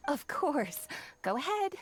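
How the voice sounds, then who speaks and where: An actress playing a friendly, polite and businesslike shopkeeper in a video game. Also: female voice, high-pitched, very animated, slow pace, background noise, exaggerated voice. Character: friendly, businesslike female shopkeeper and clothes designer.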